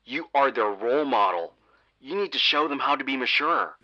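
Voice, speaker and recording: Actor as a calm dispatcher, steady reassuring tone, very bright voice. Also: male voice, mid-range voice, normal speed, dull audio. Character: calm male emergency dispatcher on the phone. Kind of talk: audio drama acting.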